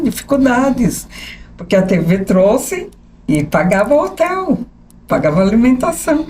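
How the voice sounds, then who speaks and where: A woman in her sixties with a São Paulo accent, a journalist telling her life story as a podcast guest, animated and often asking "né?". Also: a little high, slight background noise. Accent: São Paulo capital Portuguese. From São Paulo state. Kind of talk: conversational interview storytelling.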